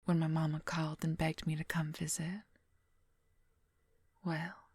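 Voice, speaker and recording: Breathy, whispering female werewolf lover, acted by a woman. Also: deep voice, level tone, darkish tone, no echo, studio quality. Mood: reflective. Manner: slow, intimate, confiding. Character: possessive female werewolf, former lawyer, wry intimate lover. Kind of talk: whispered ASMR roleplay. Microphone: close microphone, dry.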